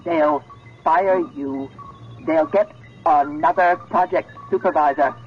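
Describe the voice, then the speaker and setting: Sinister computer, male voice, very slow, deliberate, insistent, coldly menacing, radio play, dull vintage recording. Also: on the high side, soft background music. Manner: slow, halting, deliberate phrasing. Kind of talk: 1970s radio drama acting.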